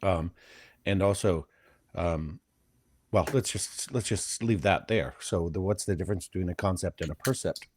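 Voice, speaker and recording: A man from Michigan with a Midwestern accent, talking in a soft, easy voice and pausing often with ums. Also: low-pitched, slight background noise. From Flint, Michigan. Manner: slow, hesitant, many ums. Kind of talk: casual discussion.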